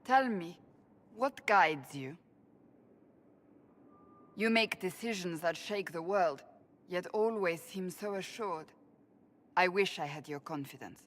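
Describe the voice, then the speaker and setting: Game casting: devout female warrior, stern and sincere, formal measured delivery, slightly low register. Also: expressive, slight background noise. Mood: reflective, probing. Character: stern, devout woman warrior, earnest and doubting. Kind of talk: dramatic video game dialogue.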